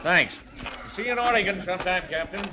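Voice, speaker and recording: An actor playing a hearty, plain farmer who leads a wagon train in an old-time radio western. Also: male voice, sits high, a little fast, background noise, natural voice. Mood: wistful. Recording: old radio drama, busy crowd effects behind.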